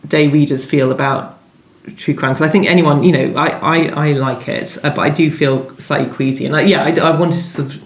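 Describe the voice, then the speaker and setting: A woman's voice: low voice, fairly even intonation, brisk. A woman giving conversational interview answers as a novelist guest, hesitant and often correcting herself. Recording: roomy, echoey sound.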